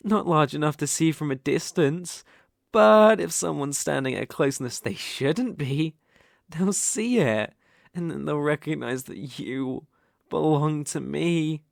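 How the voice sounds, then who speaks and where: A man's voice: fairly high pitch, lively intonation, gravelly, dry recording, studio quality. A British man acting a dominant, teasing, possessive young bully in love in an intimate ASMR roleplay. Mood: possessive, assured. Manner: slow, teasing, commanding.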